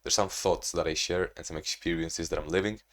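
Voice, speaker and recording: A young man talking casually in a video diary, rambling and restarting, in a monotone way. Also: sits low, a little fast. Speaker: vlogger.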